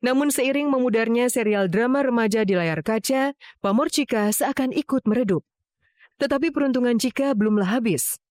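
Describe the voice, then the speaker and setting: A woman delivers scripted voice-over narration in a measured, formal storytelling manner. Her voice sits a little high. The studio sound is dry and close, with no room around it. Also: darkish tone, no room sound.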